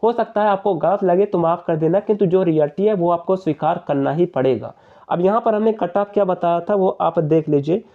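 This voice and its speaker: Young male explainer, high-pitched, persuasive and repetitive, on a dull narrow recording. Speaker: exam-prep YouTuber. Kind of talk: informal explainer addressing viewers.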